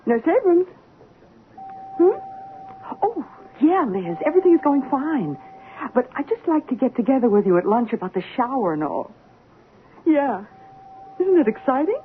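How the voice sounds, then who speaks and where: An actress playing a chatty, polite hospital nurse in an old-time radio drama. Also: female voice, high voice, very animated, normal speed, pauses often. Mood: chatty, excited. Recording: narrow-band noisy old radio broadcast.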